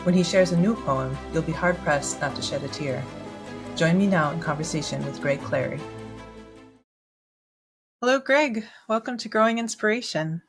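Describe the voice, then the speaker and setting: A woman hosting a podcast, reading a polished intro and then asking questions in a measured way. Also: on the low side, lively intonation, slightly rough, soft background music. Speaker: podcast host. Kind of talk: scripted intro and interview.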